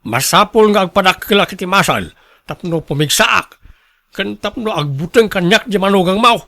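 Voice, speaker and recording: A man acts out an older father in a radio drama, indignant and protective. His voice is high and sharp, swinging widely in pitch as he presses each point with emphatic insistence. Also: animated, moderate pace, gravelly, heavily acted. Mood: determined, indignant.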